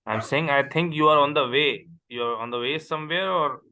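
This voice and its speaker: A man's voice: on the high side, sharp tone. A man from Pakistan, a native Urdu speaker, speaking as an accounting tutor and patiently interviewing a student over a video call. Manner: patient, repeats questions slowly. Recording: noisy call audio.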